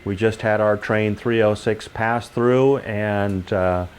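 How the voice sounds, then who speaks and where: A man's voice: fairly low pitch, fairly even intonation, slow pace, slight background noise. An older man giving an informal, explanatory tour of a model railroad layout as its hobbyist guide.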